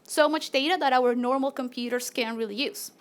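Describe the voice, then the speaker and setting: A woman with a Latin American Spanish accent and a high, bright voice presents her research on stage. She talks in a friendly, animated way, with the odd filler and restart. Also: a little fast, smooth. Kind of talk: live PechaKucha talk to an audience.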